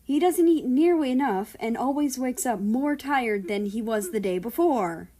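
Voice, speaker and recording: A woman's voice: fairly high pitch, animated, normal speed, mellow, voice pushed up. A woman voicing a doting, fussy, melodramatic father in a gushing, theatrical way for a story. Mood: worried fussing.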